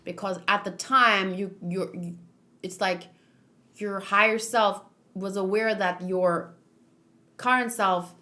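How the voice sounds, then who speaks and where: A woman's voice: medium pitch, steady tone, slow, long pauses, bright, forceful tone. Woman streamer reading along live. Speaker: streamer reading manga aloud. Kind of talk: live read-along with casual commentary.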